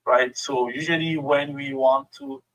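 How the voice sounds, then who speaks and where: A man's voice: medium pitch, fairly even intonation, a little slow, a bit bright, rough recording. A middle-aged man with a French accent and French as his first language, giving a friendly workshop presentation over a video call with many fillers. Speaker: guest speaker.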